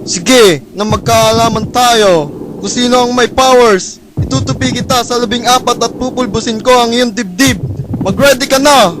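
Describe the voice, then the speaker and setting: Male actor as a flamboyant alien conqueror, high voice, mocking and theatrical, noisy amateur take. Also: moderate pace. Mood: taunting. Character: campy flamboyant male alien invader, boastful. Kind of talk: amateur radio drama acting.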